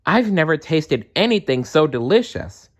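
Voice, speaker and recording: A man voicing an eager little male elf from a storybook, excited and exclaiming. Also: fairly high pitch, moderate pace, pitched above the performer's own voice. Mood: delighted. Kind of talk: storybook read-aloud.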